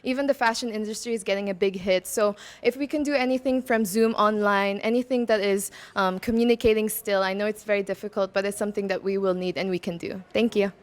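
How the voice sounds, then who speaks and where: A woman's voice: mid-range voice, steady tone, fast, hardly any pauses. A young woman answering pageant interview questions in a bubbly, chatty way. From Macau. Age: 20s. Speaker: pageant candidate. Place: closed-door interview room.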